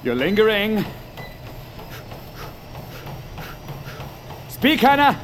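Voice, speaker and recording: A man's voice: sits high, big pitch swings, moderate pace, a little hiss or hum. A man playing a curt, demanding corporate boss in an audio drama, impatient and challenging.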